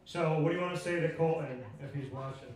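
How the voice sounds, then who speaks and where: A man hosting a casual live on-stage interview, joking and encouraging in an animated way. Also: a little high, quick pace. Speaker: show host. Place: pub stage, in front of an audience. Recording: echoey pub, distant mic, crowd chatter.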